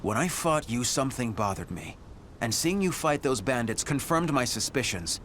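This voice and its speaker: An actor playing an earnest, loyal warrior comrade in a video game, speaking formally and deliberately. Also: male voice, mid-range voice, animated, a little hiss or hum, close to an everyday voice. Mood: probing, serious. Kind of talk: video game cutscene acting. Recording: loud music underneath.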